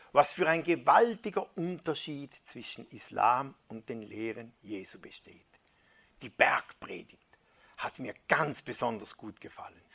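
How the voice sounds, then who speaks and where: A man preaching, retelling a first-person conversion story as a dramatic reading that drops to a hushed near-whisper at key moments. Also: medium pitch, gravelly.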